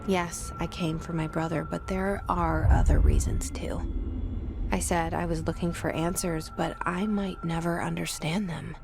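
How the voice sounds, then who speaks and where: A hushed, gravelly inner monologue. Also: female voice, fairly low pitch, heavily acted. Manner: hushed, half-whispered, introspective. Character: guarded young woman's inner voice, brooding and searching.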